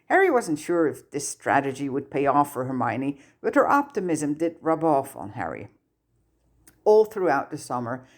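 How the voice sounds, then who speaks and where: A woman's voice: low-pitched, a little mellow. A woman narrating a piece of fiction from a script, as an audiobook reader does.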